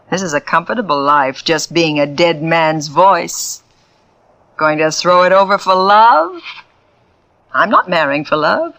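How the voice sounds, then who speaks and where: An actress playing a cold, manipulative woman medium in a 1940s radio drama, icy and commanding, her voice dropping to hushed tones. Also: fairly low pitch, animated, slow, pauses often, exaggerated voice. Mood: taunting. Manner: icy, taunting, swings between sharp and hushed. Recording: old radio recording.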